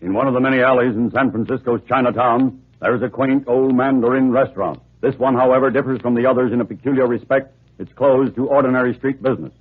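A man's voice: mid-range voice, steady tone, fast, few pauses, slight background noise. A man announcing and narrating an old radio crime drama in a scripted 1940s style. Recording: tinny vintage radio recording, music behind.

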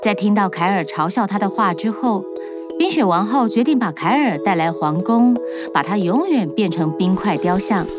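A woman narrating a children's fairy tale in an even, clear, mid-pitched voice. She tells the story at a steady storybook pace. Music plays clearly behind her. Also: moderate pace.

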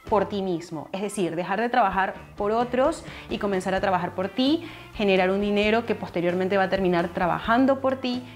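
Young female host, steady explainer to camera, lapel mic, faint music bed. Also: mid-range voice. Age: young adult. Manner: steady, explaining, addresses viewer directly. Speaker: finance channel host. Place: living room, seated on a couch indoors.

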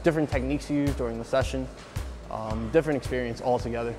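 A man's voice: medium pitch, fairly even intonation. A young man and bodybuilder talking casually in an interview, hesitant with many fillers. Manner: halting, fillers like um and like. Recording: music playing behind. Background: music.

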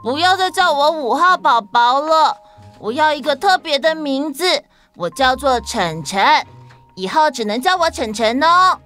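A woman plays a tiny, headstrong ant child from a storybook, asking questions in a high voice. The little one is assertive and inquisitive. Soft music sits underneath. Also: expressive, measured pace. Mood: protesting.

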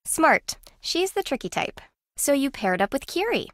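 A woman's voice: sits high, big pitch swings, moderate pace, no echo. A woman acting a shrewd, competitive young woman in a video game, with a dry, teasing, self-assured manner. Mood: smug, teasing.

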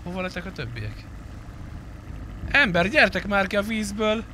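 Bossy man, lively pitch, noisy vehicle background. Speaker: player roleplaying a captor. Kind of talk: casual in-game roleplay chat.

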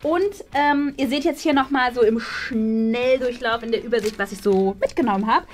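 High-voiced enthusiastic woman vlogger. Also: moderate pace. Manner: enthusiastic, gushing. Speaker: vlog host. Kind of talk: casual chat to camera.

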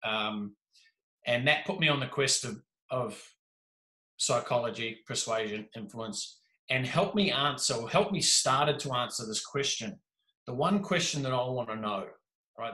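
Give me an animated, jokey New Zealand man in his thirties, bright-toned and echoey. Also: medium pitch, normal speed. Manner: animated, gestures a lot, jokey. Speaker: podcast guest. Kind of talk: casual storytelling. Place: small room indoors. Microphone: video call with desk mic. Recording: echoey room.

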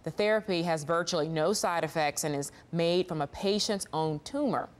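Low-pitched American woman news anchor. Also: moderate pace. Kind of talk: scripted TV news delivery. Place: indoor TV news studio. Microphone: lapel mic.

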